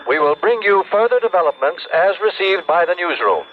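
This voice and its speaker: An actor playing a formal radio newsreader giving bulletins through a radio filter. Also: male voice, high voice, big pitch swings, a little fast. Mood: urgent. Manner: formal, clipped announcing. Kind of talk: old radio news bulletin acting. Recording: tinny, noisy radio bulletin sound.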